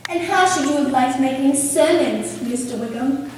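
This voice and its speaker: A woman acts a self-possessed young lady of a period drama, formal and drily pointed. The voice is high, heard from a distance in a reverberant theatre. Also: expressive, moderate pace. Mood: cool, pointed. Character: composed, witty, coolly polite young gentlewoman. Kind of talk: amateur period stage drama acting. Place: theatre stage, miked from afar. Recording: echoey hall, distant mic, faint noise.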